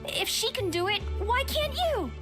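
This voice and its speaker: A woman's voice: very high voice, expressive, moderate pace. A woman voicing an eager young woman who insistently pushes her best friend to train. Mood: coaxing. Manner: bubbly and insistent. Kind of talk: video game voice acting. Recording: game music underneath.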